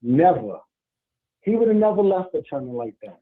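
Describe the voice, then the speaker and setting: A man's voice: a little high, big pitch swings, normal speed. A man calling in to a live show, speaking emphatically and insistently over a phone line that keeps breaking up. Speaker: caller. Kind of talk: phoned-in comment.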